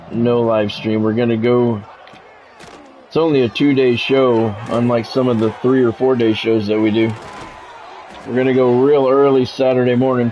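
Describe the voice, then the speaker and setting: A man's voice: medium pitch, highs cut off, a little hiss or hum. A man streaming a sports game, mixing radio-style play-by-play with casual chat.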